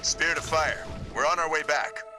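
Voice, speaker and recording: An actor playing a tough, cocky squad leader in a military video game, clipped and wry. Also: male voice, a little high, fairly even intonation, moderate pace, natural voice. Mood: brisk. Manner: wry, clipped battlefield banter. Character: tough, cocky male soldier leading a squad. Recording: loud music and vehicles behind.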